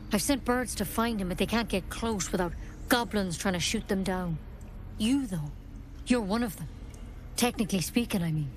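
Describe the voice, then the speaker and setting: A woman's voice: mid-range voice, slight background noise, natural voice. An actress playing an earnest, protective druid healer in a video game, plain-spoken and grave, with music behind her. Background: background music.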